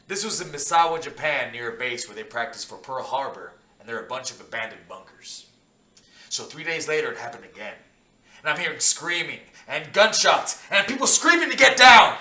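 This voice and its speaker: A man's voice: medium pitch, sharp tone. A man giving an emphatic, theatrical reading of listener messages as a guest. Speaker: guest reader. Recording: echoey room, distant from mic.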